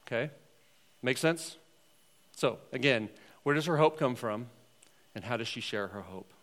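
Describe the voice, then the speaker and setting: A man's voice: mid-range voice, lively intonation, a little slow, a bit bright. A man, a pastor, casually setting up a video for his congregation with questions. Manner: conversational, asking the room questions. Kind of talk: sermon introducing a video.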